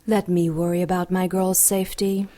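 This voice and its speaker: A woman's voice: on the low side, little pitch movement, moderate pace, mellow, natural voice. An actress playing a seductive, commanding demon queen with a silky, teasing manner in an audio drama. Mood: assured, scheming. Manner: silky, teasing, self-assured.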